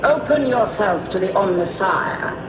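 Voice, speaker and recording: An actress playing a stern tech-priest custodian in a sci-fi game, solemn, measured, imperious and disdainful. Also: female voice, mid-range voice, lively intonation, echoey room, far from the mic, heavy background noise, lightly acted. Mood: cold reverence. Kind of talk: acted video game cutscene dialogue.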